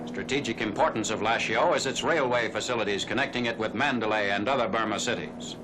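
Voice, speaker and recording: A man's voice: fairly low pitch, a little fast. Noisy 1940s newsreel narrator, male. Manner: formal, factual announcer delivery.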